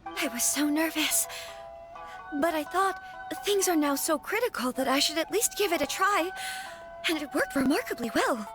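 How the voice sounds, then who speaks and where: An actress playing a polite, earnest young noblewoman in an anime game, speaking formally and with measured, careful diction. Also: high-pitched, animated, music in the background. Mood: relieved. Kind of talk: anime video game acting.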